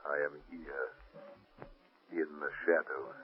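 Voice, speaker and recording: Invisible crime fighter for 1930s radio drama: very low, ominous, mocking, deliberate, thin old broadcast sound. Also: male voice, level tone, soft background music. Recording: muffled, band-limited old radio recording.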